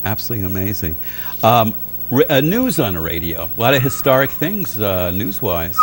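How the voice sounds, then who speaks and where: An older man speaking as an interview guest in a lowish voice. He reminisces earnestly and often repeats himself for emphasis, with a faint hum and noise behind him. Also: sing-song, normal speed. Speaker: interview guest, old-time radio fan. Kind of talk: casual nostalgic interview chat.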